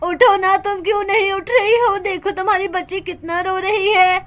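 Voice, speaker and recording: Woman playing a heartbroken young husband, very high, pleading. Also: fairly even intonation, normal speed, a bit bright. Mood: grieving. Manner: lamenting, pleading.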